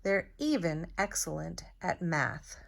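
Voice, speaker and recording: A woman reading a children's storybook aloud in a deliberate, expressive teacher's manner. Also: on the low side, creaky.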